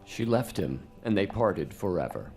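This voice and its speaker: A man's voice: low-pitched, lively intonation, unhurried, darkish tone. A man narrating a radio drama as a scripted, dramatic story. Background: music.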